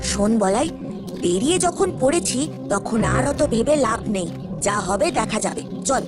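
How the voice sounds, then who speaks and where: A woman's voice: fairly high pitch, sing-song, moderate pace. A woman voicing a plucky, loyal village child in a cartoon, with a bold and confident manner, recorded with music underneath. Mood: determined. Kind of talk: Bengali village cartoon dialogue.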